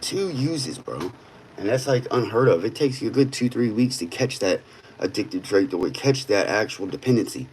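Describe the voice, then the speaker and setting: A man's voice: fairly low pitch, animated, moderate pace, a little mellow, a little hiss or hum, poor audio. Blunt streetwise man in his thirties. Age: 30s. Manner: blunt, streetwise, peppered with "bro". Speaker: livestreamer. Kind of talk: casual livestream chat.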